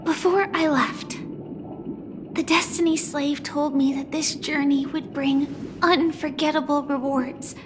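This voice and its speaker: An actress playing a gentle, solemn young woman in a video game, speaking softly and earnestly with wistful resolve. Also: high voice, fairly even intonation, unhurried, over music. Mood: solemn, resolute. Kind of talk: dramatic video game voice acting.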